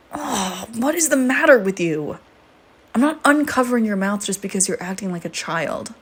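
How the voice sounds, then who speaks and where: Woman acting as a teasing vampire girl, playful and predatory, breathy murmurs, intimate roleplay, bit of noise behind. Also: mid-range voice, natural voice. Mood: playful, taunting. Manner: playful, taunting, breathy murmurs between casual banter.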